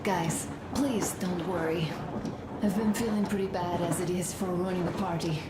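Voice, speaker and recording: A woman's voice: on the low side, fairly even intonation, moderate pace, lightly acted. An actress playing a brave, self-effacing ailing woman in a video game, speaking plainly. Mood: apologetic, wry. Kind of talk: dramatic video game voice acting. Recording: roomy, loud background noise.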